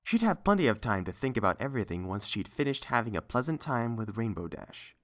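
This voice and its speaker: This is a storyteller reading scripted fiction as an audiobook. The delivery is measured, with a lively, varied melody in the pitch. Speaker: narrator and reader.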